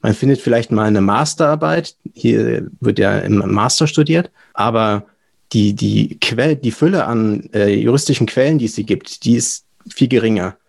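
A man's voice: lowish voice, moderate pace, gravelly. A young adult man with a German accent, talking casually and explaining things as a podcast guest. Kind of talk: casual explanatory interview talk.

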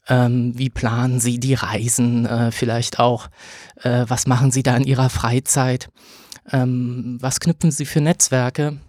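A man in his thirties, a lawyer answering interview questions in a hesitant way, with many filler sounds and pauses. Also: mid-range voice, darkish tone, no echo, studio quality. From Germany. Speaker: podcast guest, lawyer.

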